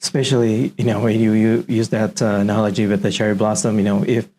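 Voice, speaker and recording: Guy hosting, low gravelly voice, kinda halting, lots of uh and you know. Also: little pitch movement, warm tone. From Indonesia. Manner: halting, searching for words, many "uh" and "you know". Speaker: podcast host. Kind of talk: casual conversational interview.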